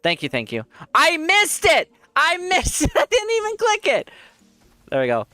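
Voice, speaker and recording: A man's voice: extremely high pitch, huge pitch swings, measured pace, pushed and bright, no echo, faint music behind. A man with a congested voice, giving rambling casual commentary while he plays a game and laughs often. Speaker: streamer.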